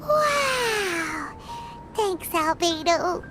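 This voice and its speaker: A woman voicing a tiny, bossy, excitable fairy companion in a video game, with a squeaky childlike voice and a dramatic manner. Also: drawn-out words, full character voice. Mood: delighted. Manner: refers to self in third person, exclamatory, bossy. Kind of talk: animated game voice acting. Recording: prominent background music.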